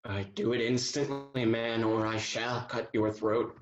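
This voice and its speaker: Man playing an earnest colonel, slow, in echoey room. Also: mid-range voice, steady tone, natural voice. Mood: stern, angry. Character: earnest, commanding English colonel, a romantic lover. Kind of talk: theatrical period comedy acting. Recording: dull, echoey room sound.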